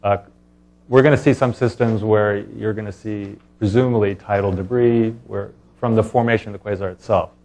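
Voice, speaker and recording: A man presenting as a scientist at a conference, speaking in a halting way with pauses mid-thought. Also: fairly low pitch, fairly even intonation, a little mellow. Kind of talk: conference talk and question answering.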